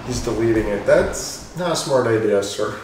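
A man's voice: medium pitch, expressive, normal speed, a little mellow. A man doing casual, joking gaming commentary with rambling asides. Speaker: let's play host. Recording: roomy echo, distant mic, music behind. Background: game music.

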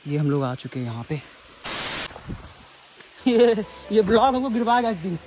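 A man in his twenties from India giving excitable, casual vlog commentary outdoors to viewers and friends, recorded on a phone at arm's length. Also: sits high, moderate pace, very noisy. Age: 20s. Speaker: vlogger. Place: outdoors on a rocky hillside and waterfall.

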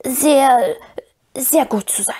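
A woman voicing a wretched, scheming little male cave creature from a fantasy story, with a hoarse, whispery croak and a cringing, drawn-out whine. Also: high voice, warm tone, pitched above the performer's own voice, heavily acted. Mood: fearful, fawning. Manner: whining, drawn-out, pleading.

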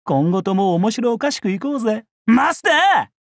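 An actor playing a brash, bloodthirsty young male samurai in a mobile game, with rough, swaggering speech. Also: high voice, very animated, normal speed, no room sound, heavily acted. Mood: boisterous, gleeful. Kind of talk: Japanese mobile game character voice lines.

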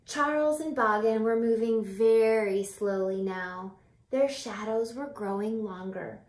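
A woman in her thirties reads a picture book to young children. Her delivery is slow and expressive, with drawn-out words and little asides to the listeners. The recording has the roomy echo of a camera mic in a home. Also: on the high side. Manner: animated, deliberate, drawn-out phrasing with hushed whispers. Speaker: teacher reading a picture book aloud. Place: small home room by a window.

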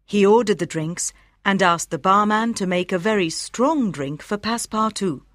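A woman narrates an audiobook in a lively, varied voice at a medium pitch. Her reading is scripted and carefully enunciated, and the recording is dry and close. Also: moderate pace, no echo. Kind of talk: scripted storybook narration for language learners.

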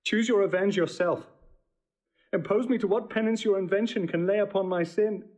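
An actor playing an earnest, passionate young noble lover in classical Shakespearean audio drama. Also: male voice, high-pitched, moderate pace, a little mellow, lightly acted. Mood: remorseful. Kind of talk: classical Shakespearean radio acting.

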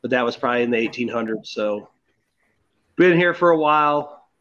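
A man's voice: mid-range voice, animated, fairly quick, fairly bright tone. Laid-back american guy on a call, muffled sound. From United States. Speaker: panelist. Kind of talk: casual video call chat. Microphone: video call.

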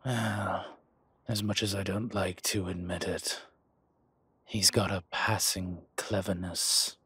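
Man playing a husky, slow-talking dragon mob boss. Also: low voice, little pitch movement, sharp tone, no room sound, exaggerated voice. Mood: scheming. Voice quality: husky, breathy. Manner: measured, smooth-talking, deliberate pauses. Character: suave, scheming male dragon mob boss. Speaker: audio roleplay performer. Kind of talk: slow, intimate scripted roleplay monologue.

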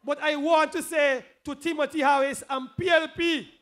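A man with a Kittitian accent giving an emphatic, rousing political rally speech as a candidate, repeating phrases and raising them to shouts. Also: very high voice, steady tone, normal speed.

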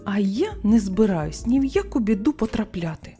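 A woman's voice: mid-range voice, big pitch swings, moderate pace, dark tone, soft background music, natural voice. A woman voicing a boastful, quarrelsome little male duckling in a children's storybook tale. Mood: sulky, defiant. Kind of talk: storybook character voice.

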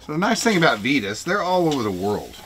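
A man's voice: a little high, sing-song, quick pace, a bit bright, slight background noise. A man in his fifties, plainspoken and chatty, explaining a repair job inside a boat cabin. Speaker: sailing vlogger. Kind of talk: casual how-to narration.

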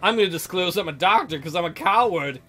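A man's voice: high voice, lively intonation, quick pace, fairly bright tone, soft background music. A man hosting a game playthrough, reading the story aloud and adding goofy, crude joking asides. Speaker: gameplay host reading a visual novel aloud.

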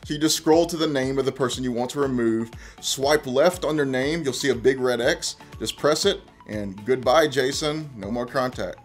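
A man's voice: medium pitch, normal speed, few pauses, soft background music. A man in his thirties presenting a how-to tutorial in a conversational, step-by-step way. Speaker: tech presenter. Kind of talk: casual how-to tutorial narration.

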